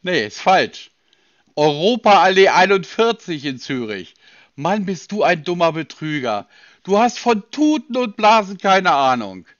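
Brief: German man, stern and sarcastic, high lively voice, dull dry sound. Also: measured pace, no room sound. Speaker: person on the receiving end of a scam call.

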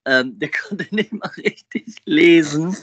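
A man's voice: a little high, slow pace, a bit bright, no echo. A man on a phone call, mocking the caller and repeating the same question over and over. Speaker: prank caller baiting scam callers. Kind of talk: confrontational phone prank chat.

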